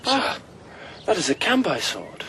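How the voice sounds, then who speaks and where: An idealistic young master in a radio play. He speaks slowly and deliberately at a middling pitch, outdoors with clear birdsong and ambient noise. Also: huge pitch swings, bright, forceful tone, heavily acted. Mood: uncertain. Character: solemn, idealistic young master resolved on change. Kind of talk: radio drama acting.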